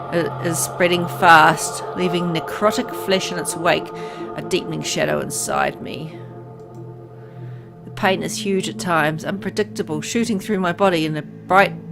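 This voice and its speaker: A bitter young man in his twenties narrates his own dying in a solemn, inward-looking way , read by a woman. He is resigned and brooding. Music plays clearly behind this visual novel monologue. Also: fairly low pitch, lightly acted. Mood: bleak, resigned. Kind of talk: dramatic first-person visual novel narration.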